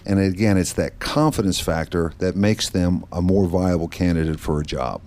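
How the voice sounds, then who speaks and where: A middle-aged man from the Netherlands speaks in a very low voice, answering interview questions on a radio set. He explains things steadily and methodically, stopping now and then to restart a phrase. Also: moderate pace, darkish tone. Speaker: guest, branch manager of a nonprofit. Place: studio set in a mall.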